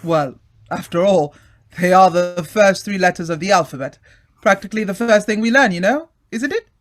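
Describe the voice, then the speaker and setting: An actor playing a breezy, affable British gentleman in old-time radio drama style, hearty and polite, with old-fashioned phrasing. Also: high-pitched, normal speed, lightly acted. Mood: affable, curious.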